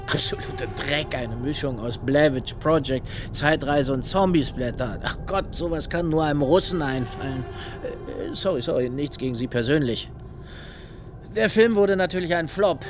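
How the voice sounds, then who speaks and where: A man's voice: a little high, moderate pace, over music, natural voice. A man playing an agitated, boastful film director in a radio play, stammering as he rants with derision. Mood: scornful, bitter. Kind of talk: dramatic radio play dialogue.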